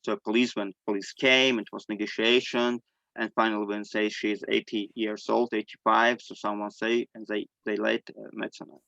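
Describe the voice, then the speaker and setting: A middle-aged man from Armenia, a native Armenian speaker, tells a personal story in halting non-native English, searching for words. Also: fairly low pitch, level tone, gravelly, fairly bright tone, no echo, muffled recording. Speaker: guest sharing personal testimony. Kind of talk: personal storytelling in an online discussion.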